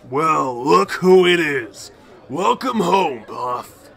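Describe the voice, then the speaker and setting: A man's voice: a little high, lively intonation, very slow, vocal fry, a bit bright, soft background music, exaggerated voice. A man voicing a brash, glad-handing gangster underling in a motion comic. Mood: hearty.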